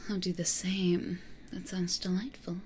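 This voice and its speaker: A woman playing a weary, wary vampire in a hushed, dryly grumbling ASMR roleplay whisper. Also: on the low side, little pitch movement, slow, slight background noise. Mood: intrigued. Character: weary, wary female vampire with charm powers. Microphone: close studio condenser or binaural mic.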